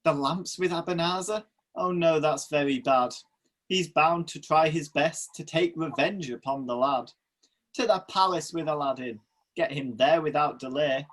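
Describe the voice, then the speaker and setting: Man playing a husky pantomime genie. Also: on the high side, moderate pace, a bit bright. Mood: worried. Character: obliging male genie of the ring, pantomime. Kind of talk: rhyming amateur pantomime acting.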